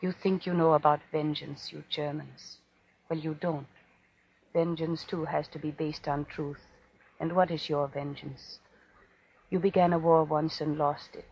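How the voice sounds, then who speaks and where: Condemned French woman, defiant, accusing, creaky voice, 1940s radio drama, dull hissy recording. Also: low voice, fairly even intonation, a little mellow, exaggerated voice. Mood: vengeful, accusing. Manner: deliberate, taunting, accusing. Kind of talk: 1940s radio horror acting.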